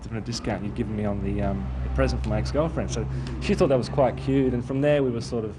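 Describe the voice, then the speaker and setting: A man's voice: mid-range voice, steady tone, quick pace. A young man, born in Italy, chatting in a hesitant, rambling way about his clothing business in a casual street interview. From born in Italy, raised in Australia. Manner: rambling, hesitant, full of "sort of". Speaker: clothing designer and shop owner. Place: outdoors on a city street. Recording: street traffic noise behind.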